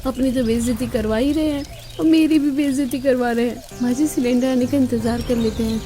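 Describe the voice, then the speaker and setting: Young daughter-in-law for cartoon drama, aggrieved, high-pitched, voiced by a woman. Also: fairly even intonation, fairly quick, warm tone, close to an everyday voice. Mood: embarrassed, annoyed. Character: unhappy, put-upon young daughter-in-law, complaining. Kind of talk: Hindi cartoon story dialogue.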